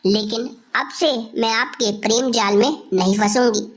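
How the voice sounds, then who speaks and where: A woman's voice: fairly high pitch, normal speed, a little hiss or hum, natural voice. A woman playing a suspicious, jealous schoolteacher with an accusing manner in scripted cartoon dialogue. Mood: hurt, scornful.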